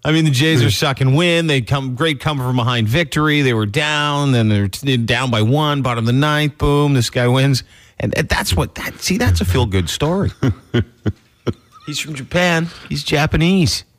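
A man co-hosting a casual morning radio show from a studio, rambling excitedly and laughing as he tells a story. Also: medium pitch, normal speed. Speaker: radio co-host. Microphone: studio microphone.

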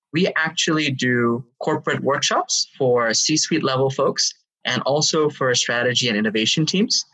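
Guy in his 30s from hong kong, explains things step by step with lots of uhs, husky, a bit far from the mic. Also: mid-range voice, sharp tone. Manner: measured, explanatory, with frequent fillers. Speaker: guest, AI consultant and lecturer. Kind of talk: interview answers.

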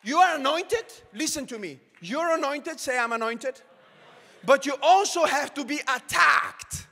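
A young man preaching a sermon to a congregation with a handheld mic, swinging from shouted calls to hushed asides. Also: very high voice, animated, measured pace, gravelly. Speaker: pastor. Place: large church auditorium with congregation. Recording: long hall reverb from the sanctuary.

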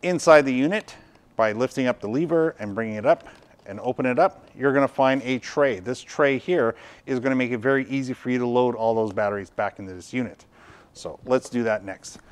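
A man's voice: mid-range voice, a little fast, smooth. A man in his fifties hosting a casual product demonstration, explaining things in a conversational way. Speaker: product reviewer and video host. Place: indoor studio workshop at a tabletop.

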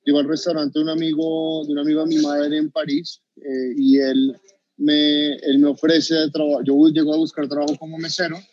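Hesitant Bogotá chef on a dull call. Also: male voice, fairly high pitch, little pitch movement, normal speed, darkish tone, no echo. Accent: Bogotá Colombian Spanish. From Colombia. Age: 30s. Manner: hesitant, many restarts and fillers. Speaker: chef guest. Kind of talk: video call interview. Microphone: video call webcam. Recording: dull dry video call audio.

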